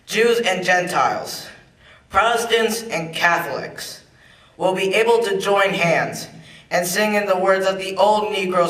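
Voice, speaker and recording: A young man's high, bright and forceful voice rings out in an echoey room. He declaims a famous speech with emphasis, as a student reader. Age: young adult. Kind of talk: dramatic reading of a famous speech. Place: large echoey room at a public event. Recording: echoey hall, far from the mic.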